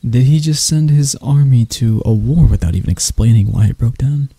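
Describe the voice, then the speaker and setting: A man playing a husky, dark-voiced proud elf royal. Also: mid-range voice, moderate pace, dry recording. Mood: scornful, taunting. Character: proud, mocking elf royal interrogating an enemy knight. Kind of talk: ASMR roleplay monologue.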